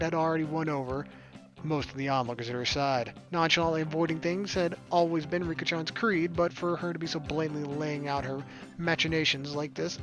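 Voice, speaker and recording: A man reading visual novel narration aloud and adding casual commentary as a gaming streamer. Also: on the high side. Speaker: let's play streamer.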